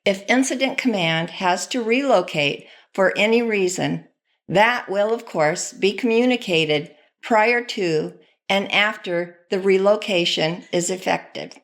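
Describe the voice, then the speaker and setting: A woman's voice: medium pitch, a little slow. A woman delivering scripted instructional narration as a training presenter.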